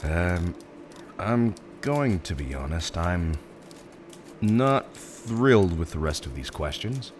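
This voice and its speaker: A man performs a supervillain interviewer for a roleplay audio drama, in a low voice that swings dramatically up and down. He is theatrical and mock-professional, politely exasperated, with hesitant turns and a readiness to chuckle. Faint noise sits behind the voice. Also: sing-song, slow pace, heavily acted. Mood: amused, candid.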